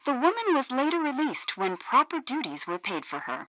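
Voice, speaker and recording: Sassy female radio news co-host, peppy and bright, close dry studio sound, satirical game broadcast. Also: high voice, normal speed, no room sound, full character voice, rough recording. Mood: newsy, brisk. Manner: animated, exaggerated newsreader delivery.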